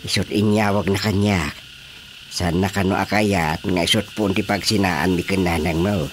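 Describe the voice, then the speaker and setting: A man with an Ilocano Filipino accent playing a plaintive, excitable, apologetic husband and father in a radio drama comedy. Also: sits low, normal speed, slight background noise, natural voice. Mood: pleading, apologetic. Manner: expressive, swooping delivery.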